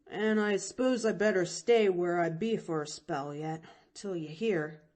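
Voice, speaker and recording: A woman reading an audiobook, voicing a proud, plainspoken poor boy with a rough, folksy way of speaking. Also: lowish voice, unhurried, a little mellow, natural voice. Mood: earnest.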